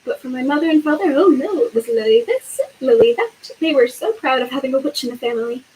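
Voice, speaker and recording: A woman voicing a shrill, bitter middle-aged aunt who rants. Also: animated, quick pace, a little mellow, a little hiss or hum, rough recording. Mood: ranting. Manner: ranting, shrill.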